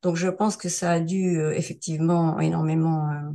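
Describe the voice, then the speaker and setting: An older woman around seventy, originally from France, speaks in a somewhat low voice. She tells her story casually and haltingly, often pausing on fillers and starting over. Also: little pitch movement, mellow. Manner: hesitant, many fillers and restarts. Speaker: interview guest sharing a testimonial. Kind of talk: casual spoken testimonial.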